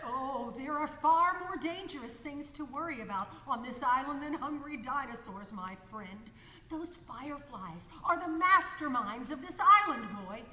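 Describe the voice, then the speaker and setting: A woman's voice: high-pitched, expressive, moderate pace, sharp tone. An actress playing a brash, good-humored 1930s woman aviator with breezy, slangy talk in a radio play. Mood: wry warning. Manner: breezy slangy talk, calls people kiddo. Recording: echoey hall, faint background noise.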